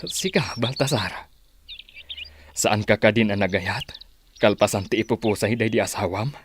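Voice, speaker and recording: Concerned friend of the chief, played by a man, lowish voice, radio drama with faint noise. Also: moderate pace, close to an everyday voice. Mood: earnest. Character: concerned male confidant of a village chief.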